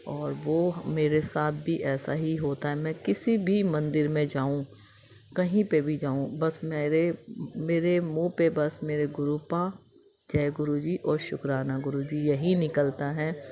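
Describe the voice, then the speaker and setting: A middle-aged woman with a hoarse, gravelly voice gives an emotional devotional testimony of gratitude. Her tone is dark and mellow, and she speaks with little rise and fall in pitch, repeating herself like a chant. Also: fairly even intonation, slow. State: hoarse throat.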